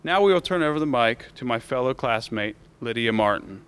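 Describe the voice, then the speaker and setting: A man's voice: mid-range voice, animated, fairly quick, fairly bright tone, smooth. A man in his late teens with an American accent, delivering a prepared commencement speech as a student speaker into a podium microphone. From United States. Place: school auditorium stage.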